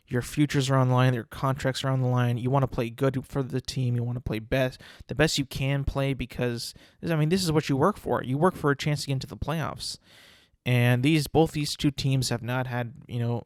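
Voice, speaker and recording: A young man talking on his own podcast with a stuffy, congested voice. He rambles loosely, circling back with likes and you-knows, at a middle pitch. The sound is dry and close. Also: steady tone, moderate pace, dry recording. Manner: rambling, many fillers and restarts. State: congested, sniffling. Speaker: podcast host. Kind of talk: casual solo podcast talk.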